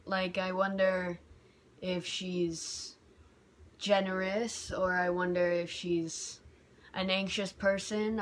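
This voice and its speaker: A woman's voice: lowish voice, a bit bright. Candid young woman musing aloud. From United States. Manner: candid and rambling, lots of "like" and restarts, heating up when frustrated. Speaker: vlogger. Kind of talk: personal reflective vlog. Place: home bedroom by a window.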